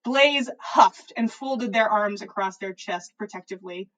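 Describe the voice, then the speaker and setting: A woman reading fan fiction aloud as a podcast host, in expressive first-person story narration. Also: medium pitch, measured pace, rough recording.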